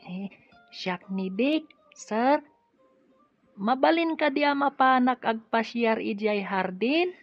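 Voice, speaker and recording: An eager, well-mannered little boy in a radio drama, childlike and respectful. The voice is high and very animated, with slow delivery, in a dull recording with music behind. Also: no room sound, full character voice. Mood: cheerful, eager. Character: polite, eager young boy, the nurse's child.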